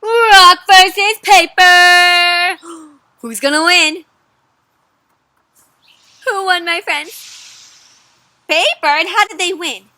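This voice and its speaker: A young woman reads a picture book aloud to children with lively, theatrical expression. Her voice is high and bright. The recording is dry and close, with little room sound. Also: no room sound. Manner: expressive, asks children questions, gasps and dramatic pauses. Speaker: storyteller reading to children. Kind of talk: animated children's read-aloud.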